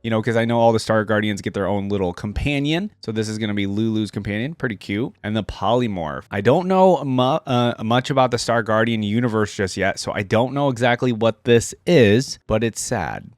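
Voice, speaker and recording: A man's voice: fairly low pitch, brisk, hardly any pauses, no room sound, studio quality. A man giving casual, unscripted reaction commentary, thinking aloud in an informal way as he goes. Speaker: reaction video host.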